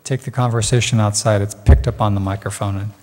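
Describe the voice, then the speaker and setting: A middle-aged man chairing a public board meeting, calling motions and votes in a brisk procedural way at a desk mic. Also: fairly low pitch, fairly even intonation, warm tone. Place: council chamber, seated at the dais. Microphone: desk gooseneck mic. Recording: echoey chamber with faint noise.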